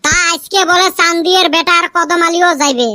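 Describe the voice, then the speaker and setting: Someone voicing a very high-pitched cheeky cartoon village boy. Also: steady tone, brisk, sharp tone, no echo, clean studio sound. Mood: complaining. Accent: rural northern Bengali dialect. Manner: teasing, grumbling. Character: cartoon village boys bickering over Eid shopping, cheeky and broke. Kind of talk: comic cartoon dubbing in rural dialect. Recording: clearly noisy background with clicks.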